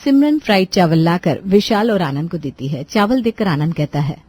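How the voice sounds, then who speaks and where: A woman's voice: fairly low pitch, expressive, moderate pace, darkish tone. A woman narrating a scripted moral story for a cartoon as storyteller.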